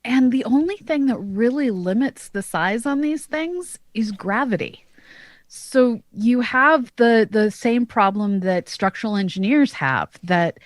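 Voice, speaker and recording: A woman co-hosting a science podcast, explaining in a measured, careful way. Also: a little high, dry recording, clean studio sound. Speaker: podcast co-host, astronomer.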